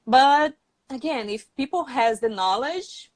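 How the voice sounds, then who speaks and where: A woman's voice: high voice, expressive, measured pace, darkish tone, no echo. A woman with a Brazilian accent, a native Portuguese speaker, hosting a casual English-learning live chat.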